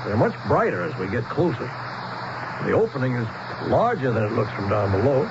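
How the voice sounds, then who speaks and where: A man's voice: medium pitch, very animated, a little fast, heavy background noise. An actor playing a reluctant, anxious but determined everyman explorer in a 1970s radio drama, speaking plainly and earnestly. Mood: awed, hesitant. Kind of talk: 1970s radio drama acting, dialogue and first-person narration. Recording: dull lo-fi 1970s radio broadcast, music behind.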